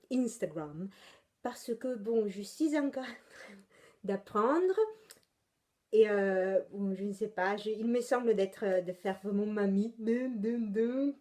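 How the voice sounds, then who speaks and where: A woman's voice: mid-range voice, mellow. A young woman with an Italian accent, hosting a knitting podcast in casual, rambling chat full of hesitations. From Italy. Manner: rambling, chatty, many hesitations and self-corrections.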